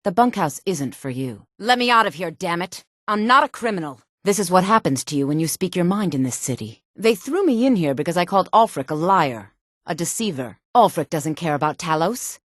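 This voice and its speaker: A woman voicing a sultry, sharp-tongued, disdainful townswoman and courtier in a fantasy video game. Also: lowish voice, sing-song, fairly quick, no echo, clean studio sound. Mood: dismissive, sardonic, then bitter, indignant. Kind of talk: video game character dialogue.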